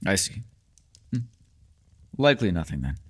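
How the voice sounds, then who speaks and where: Man acting as old male elf, fairly deep voice, hugely varied melody, teasing, formal old-world phrasing, secretive, fantasy roleplay audio. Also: very animated, normal speed, fairly bright tone, slightly rough, full character voice. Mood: thoughtful, reassuring.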